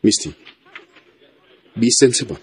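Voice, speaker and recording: A man's voice: medium pitch, expressive, slow pace, slightly rough, exaggerated voice. An actor playing a polite, dutiful young man in a radio drama, earnest and mixing in English. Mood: apologetic. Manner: earnest, mixes English into his speech.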